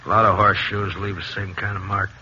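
A defensive small-town sheriff in a radio Western, middle-aged and grudging. His voice is lowish but very hard-edged and forceful, with terse rustic delivery, caught on a muffled old recording. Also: level tone, fast talker, slightly rough, full character voice. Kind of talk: 1950s Western radio drama acting.

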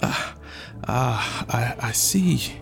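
A man's voice: medium pitch, lively intonation, normal speed, vocal fry, faint music behind. A man voicing a timid, flustered village chief in a folktale, stammering and meek. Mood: nervous, meek. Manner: stammering, meek, squealing weakly.

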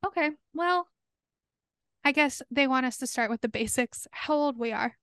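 A woman's voice: sits high, moderate pace, fairly bright tone, clear, resonant tone, no room sound, clean studio sound. A woman in her early thirties co-hosting a podcast, bubbly and giggly in casual chat. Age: 30s. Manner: bubbly and giggly, lots of fillers. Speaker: podcast co-host.

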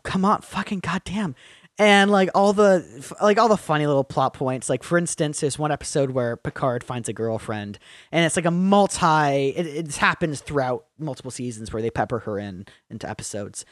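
Rambling young man, fairly high voice. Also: normal speed, no echo, studio quality. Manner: rambling, swears freely, animated bursts. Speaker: podcast co-host. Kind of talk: casual chat.

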